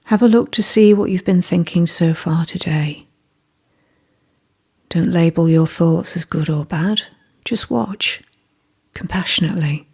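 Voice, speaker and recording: A woman leading a scripted guided meditation in a gentle, measured, soothing way, with a soft, breathy voice. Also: on the low side.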